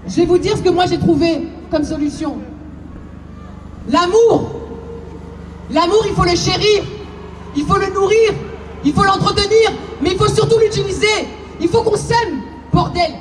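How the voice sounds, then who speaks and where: A woman in her twenties from Congo, giving an impassioned protest speech and shouting repeated calls to a crowd. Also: very high-pitched, a little slow, pauses often. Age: 20s. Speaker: rally speaker. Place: outdoor square at a rally. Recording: echoey, far from mic, crowd and noise.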